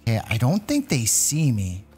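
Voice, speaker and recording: A man hosting a gaming video, giving excited, exclamatory gameplay commentary with playful mock alarm as he addresses his viewers. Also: medium pitch, moderate pace, breathy edge, faint music behind.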